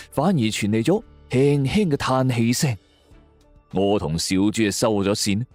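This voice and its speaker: Cantonese man narrating suspense with lively swoops. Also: fairly low pitch, no room sound. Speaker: storyteller, narrator. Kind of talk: dramatic scripted first-person suspense narration. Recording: music bed under the voice.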